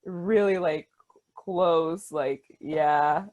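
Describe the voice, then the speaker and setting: An eager woman interviews an author in a relaxed, fan-like way, peppering her speech with "like." Her voice sits on the lower side for a woman. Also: drawn-out words. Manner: enthusiastic, says "like" often, laughs. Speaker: interviewer, book reviewer. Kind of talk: casual video-call interview.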